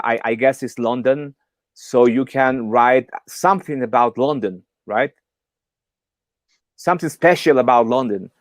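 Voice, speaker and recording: A man's voice: mid-range voice, no echo. Man coaching English learners, dry sound. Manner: encouraging, often checks with "okay?" and "right?". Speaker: English exam coach, workshop presenter. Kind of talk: informal online lesson. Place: online video call.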